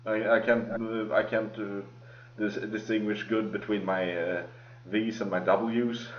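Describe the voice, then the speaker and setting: A man with a heavy Swedish accent, co-hosting a podcast in casual chat, relaxed and quick to laugh. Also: on the low side. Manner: relaxed, laughs often, restarts sentences. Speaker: podcast co-host. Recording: echoey lo-fi mic.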